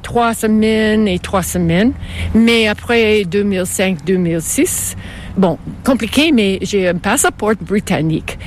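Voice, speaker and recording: An older woman from Canada, a native English speaker with an English Canadian accent, answering interview questions in halting second-language speech. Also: medium pitch, slight background noise. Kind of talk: casual conversational interview.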